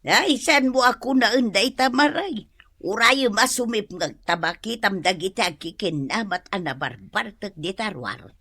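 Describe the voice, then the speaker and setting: A woman's voice: medium pitch, animated, unhurried, sharp tone, exaggerated voice. A woman with an Ilocano accent acting a gossipy, complaining village mother in a comedy radio drama. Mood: gossipy, indignant.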